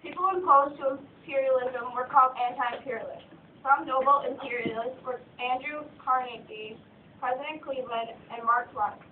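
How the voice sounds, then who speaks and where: High-voiced young woman, tinny distant recording. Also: steady tone, moderate pace. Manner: stumbles and repeats words while reading. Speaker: narrator of a student puppet show. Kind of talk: scripted narration read aloud. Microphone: phone from across the room. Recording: tinny phone recording, distant, echoey, faint noise.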